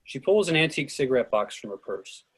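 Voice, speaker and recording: A man's voice: mid-range voice, sing-song, quick pace, a bit bright, breathy edge. A man narrating the action and stage directions at a script reading. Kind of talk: scripted narration at a readers theatre.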